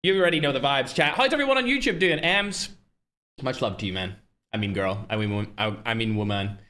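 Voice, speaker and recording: A man's voice: mid-range voice, pushed and bright. A man hosting a live stream, chatting casually and brashly straight to his viewers with frequent asides. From Northern Ireland. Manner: brash, fast-flowing, talks straight to chat, trash-talking. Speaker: livestream host and tournament organizer.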